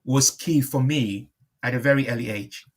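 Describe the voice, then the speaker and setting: A man gives a reflective, conversational interview as the guest. His voice sits at a medium male pitch, and he often repeats a phrase before carrying on, rewording his thought as he goes. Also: moderate pace. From born in Liverpool, moved to Nigeria at five.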